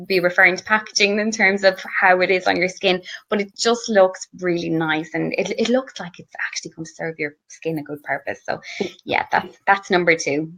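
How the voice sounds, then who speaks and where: A woman's voice: on the low side, creaky, fairly bright tone. An Irish woman chatting enthusiastically about her favourite skincare products, with lots of fillers and restarts. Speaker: guest beauty blogger. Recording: slightly muffled lo-fi audio.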